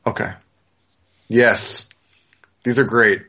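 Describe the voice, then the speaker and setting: Casual male podcast host, medium pitch. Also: flat delivery, slow, sharp tone. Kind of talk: casual chat.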